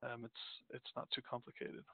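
A man's voice: fairly quick, no echo. A man from Denmark presenting a business webinar in a casual, rambling way, full of fillers and restarts. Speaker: company president presenting a webinar. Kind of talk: unscripted webinar presentation over slides. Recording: tinny phone-like audio, dry.

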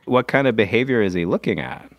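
A man's voice: on the low side, expressive, fast talker, no room sound, studio quality. A man co-hosting a podcast, playful and curious, asking questions in casual banter. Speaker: podcast co-host.

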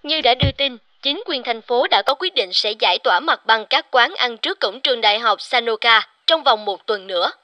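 A formal female television newsreader inside an animated story. Her voice is fairly high, and she reads in a scripted, neutral news style. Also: a little fast, few pauses, no echo. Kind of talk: formal news reading in a cartoon.

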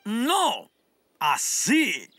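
A lazy, scheming fake scout leader from a children's comedy show. He is hammy and mocking, with wildly swooping pitch and a slow, drawn-out delivery. Music plays clearly behind him. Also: wildly swooping pitch, exaggerated voice. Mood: sly, boastful. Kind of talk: children's TV comedy acting, Spanish-language dub.

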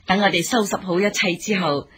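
An elderly mother in a Hong Kong Cantonese radio drama. Her voice is very rough and husky at a middling height, and her manner is humble, courteous and expressive. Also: medium pitch. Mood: earnest, gentle. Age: older. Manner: deferential, measured, expressive.

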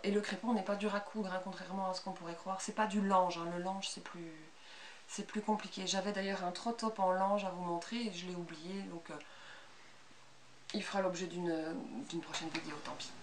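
A woman's voice: lowish voice, fairly even intonation, a little fast. A woman in her thirties with a Belgian French accent, giving a casual, unscripted, chatty show-and-tell as a sewing vlogger. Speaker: sewing vlogger presenting handmade clothes. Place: small bright indoor sewing room. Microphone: camera a couple of metres away. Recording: distant camera mic with background noise.

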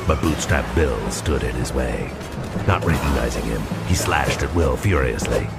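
Very deep, gravelly male storyteller. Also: moderate pace. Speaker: narrator. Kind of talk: dramatic scripted audio storybook narration. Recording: loud music under the voice. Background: loud dramatic music.

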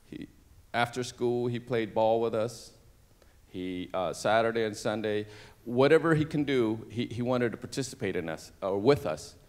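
A middle-aged man from Cambodia, a native Cambodian speaker, telling his life story on a church stage into a handheld mic, halting with many fillers and restarts. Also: mid-range voice, darkish tone. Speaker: interview guest. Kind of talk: personal testimony on stage.

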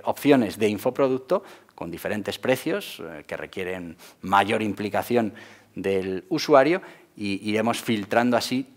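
A man, hosting an explainer video to camera from a studio desk. He gestures broadly and leans on fillers like "bueno, pues". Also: on the low side. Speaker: host of a channel on marketing for entrepreneurs. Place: indoor studio set with a porthole backdrop. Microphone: lapel mic, seated at a desk.

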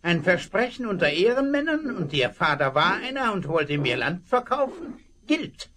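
A man's voice: high-pitched, sing-song, normal speed, background noise. An actor playing a smug, menacing male landgrabber in a Western radio play, mocking and making veiled threats. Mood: threatening. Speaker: rival rancher. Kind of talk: 1970s German radio play acting.

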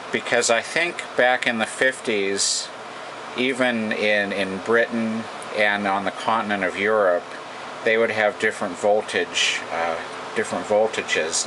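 An American man giving an informal hands-on demonstration, thinking aloud in a halting way at an indoor workbench. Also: lowish voice. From United States. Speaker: radio repair hobbyist. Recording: noisy room, steady background hum.

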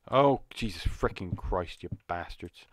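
Croaky man muttering through a horror game. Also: low-pitched, noisy background. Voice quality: slightly croaky. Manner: rambling, jumpy, muttering to himself. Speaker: gaming channel host. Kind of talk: casual horror gameplay commentary.

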